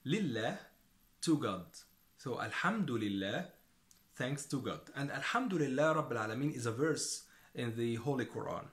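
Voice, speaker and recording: A man with an Egyptian accent, a native Arabic speaker, giving a language lesson as a teacher and deliberately repeating words and phrases as he explains them. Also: mid-range voice, animated, echoey room.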